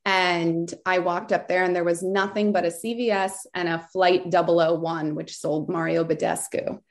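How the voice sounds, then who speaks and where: A woman's voice: on the low side, fairly even intonation, normal speed. A woman from Southern California, a beauty store founder, telling her story in a chatty, rambling way with many fillers and restarts. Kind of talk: casual interview storytelling.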